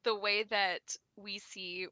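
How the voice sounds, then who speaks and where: An enthusiastic, giggly woman in a casual book chat, retelling moments in an animated way on an online call. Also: mid-range voice, slow, clear, resonant tone, dry recording. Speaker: book discussion co-host. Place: live online video call.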